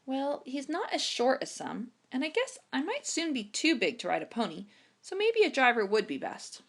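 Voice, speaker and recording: A woman's voice: high voice, wildly swooping pitch, normal speed, fairly bright tone, voice pushed up, exaggerated voice. A woman voicing an eager, shy young boy with a hesitant, questioning way of speaking.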